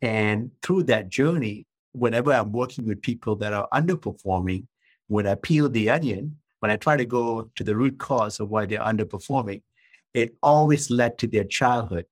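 A man's voice: fairly low pitch, normal speed, breathy edge, no room sound. A man in his fifties, from Malaysia, explaining earnestly as a guest in a podcast interview. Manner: earnest, explanatory, uses rhetorical questions. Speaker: guest author and coach.

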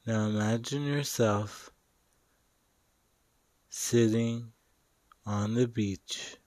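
Very slow male meditation guide. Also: fairly low pitch, a little mellow, no echo. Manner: slow, deliberate, short phrases with long pauses.

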